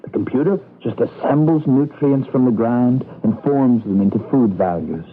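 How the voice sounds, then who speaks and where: A man's voice: mid-range voice, normal speed, natural voice. An actor playing an earnest, trusting young husband and idealistic rebel in a radio drama, anxious and coaxing as he talks. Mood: puzzled, coaxing. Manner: anxious, coaxing, seeks agreement. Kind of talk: old-time radio drama acting. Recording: dull, band-limited 1980s radio recording.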